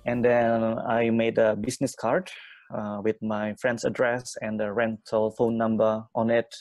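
A young man from Indonesia, an English teacher, answering interview questions quickly with many fillers and restarts. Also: lowish voice, flat delivery, darkish tone, no echo, faint music behind. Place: small room at home.

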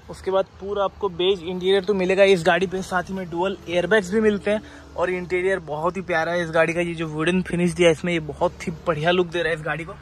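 A man giving an unscripted car sales walk-around, talking persuasively and dropping in English terms. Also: high-pitched. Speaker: used-car dealer presenting a car. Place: outdoors by a roadside lot and inside a car. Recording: clear outdoor ambient and vehicle noise.

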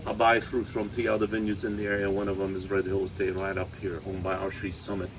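A man's voice: deep voice, monotone, fast talker. A man in his sixties, originally from Lebanon, casually telling visitors about his winery on an outdoor patio. He talks plainly, rambles through asides and pokes fun at himself. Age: 60s. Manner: plain-spoken, self-mocking, rambling with asides. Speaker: winemaker hosting a tasting. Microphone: phone camera a few meters away. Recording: open-air phone recording with background noise.

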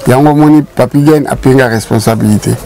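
A man's voice: mid-range voice, fairly quick, dark tone, a little hiss or hum, rough recording. Older Congolese man telling community history. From Congo Kinshasa. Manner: emphatic, mixes French and Lingala. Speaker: show guest and narrator of community history. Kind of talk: casual studio chat, storytelling. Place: small indoor studio, shop-like room.

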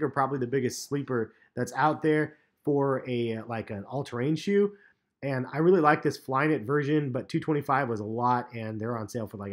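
A man in his thirties giving a relaxed, chatty sneaker review in a vlog, with plenty of ums and uhs. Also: mid-range voice. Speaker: sneaker vlogger. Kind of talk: casual vlog commentary. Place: parked car, and voice-over on store footage.

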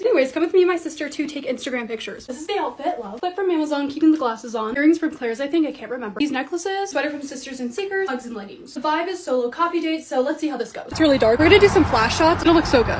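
A young woman with a bubbly, gushing way of talking and a slightly raspy voice, narrating her lifestyle vlog. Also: high-pitched, expressive, very fast, a little hiss or hum. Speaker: lifestyle vlogger. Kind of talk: casual vlog voice-over.

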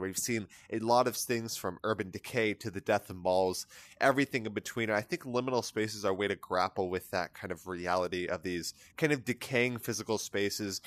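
A man with a somewhat low voice hosts his own art analysis show, talking through a picture in a loose, rambling way. He leans on fillers like "kind of" and "you know" as he goes. The sound is dry and close, with no room around it. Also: a bit bright, no room sound. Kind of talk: casual spoken art commentary. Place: voice-over on a screen recording of an image viewer.

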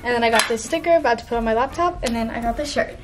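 A woman's voice: fairly high pitch, racing, noisy background. A woman chatting casually and playfully in a travel vlog. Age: 20s. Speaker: vlogger. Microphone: handheld camera at arm's length.

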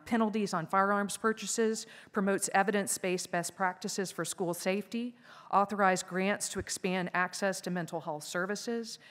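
A woman in her fifties giving a formal prepared keynote speech from a lectern on a conference stage, in a measured, earnest delivery. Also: fairly low pitch. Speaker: keynote speaker. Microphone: lectern microphones. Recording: reverberant conference hall.

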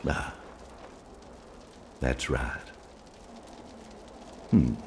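Slow, gravelly, menacing preacher. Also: male voice, rumbling low, a little mellow, a little hiss or hum, full character voice. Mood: cold menace. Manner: preachy, deliberate, biblical phrasing. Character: menacing older cult pastor, righteous and controlling. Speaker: pastor. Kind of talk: dramatic game cutscene acting.

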